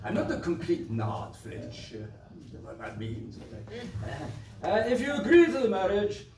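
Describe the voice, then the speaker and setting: A man's voice: sits high, wildly swooping pitch, moderate pace, crowd noise. A man playing a pompous, scheming village squire in a pantomime, blustering and stammering. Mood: bullying. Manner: stammering, hemming and hawing bluster. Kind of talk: British pantomime stage acting. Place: live stage performance. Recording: distant roomy stage recording with background noise.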